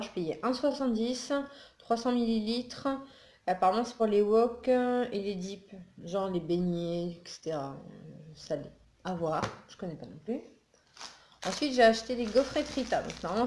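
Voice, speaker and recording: Chatty just-woken woman in echoey room. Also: medium pitch. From northern France. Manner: chatty, rambling, with many fillers and restarts. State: just woken up, a little groggy. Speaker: beauty and haul vlogger. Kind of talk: casual haul video chat. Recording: echoey room with faint noise.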